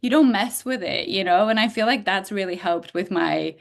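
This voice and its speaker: A middle-aged woman from Bulgaria chats as an interview guest, with a gravelly edge of vocal fry in her voice. She talks in an animated way and fills her sentences with "like" while she thinks. Her pitch sits in a moderate middle range. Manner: animated, frequent "like" fillers, quick laughs. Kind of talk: casual interview chat.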